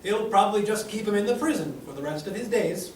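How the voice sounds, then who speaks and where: A man's voice: high-pitched, lively intonation, fast, a little mellow, slight background noise. A man voicing a smug, taunting town guard at a table read, in a roomy room with a distant mic. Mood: menacing. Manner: sneering, taunting.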